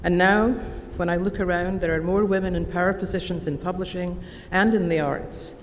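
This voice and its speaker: A woman in her sixties with an Edinburgh Scottish accent, giving a formal, measured convocation speech from a podium. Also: on the low side. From Scotland. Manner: measured, deliberate, warmly earnest. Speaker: honorary degree recipient giving convocation address. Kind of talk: formal prepared ceremonial speech. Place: large convocation hall. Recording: long hall reverb, faint background noise.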